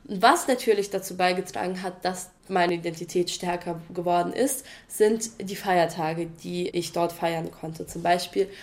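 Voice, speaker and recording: A young woman answers interview questions and thinks out loud as she goes. She sounds hesitant and reflective, and she qualifies her points. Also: lowish voice, fairly even intonation, moderate pace, hardly any pauses.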